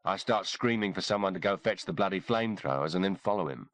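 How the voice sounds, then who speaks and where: A man voicing a gruff, blunt male army sergeant, shaken by what he has seen. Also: low-pitched, lively intonation, quick pace, a bit bright, no room sound. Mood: grim.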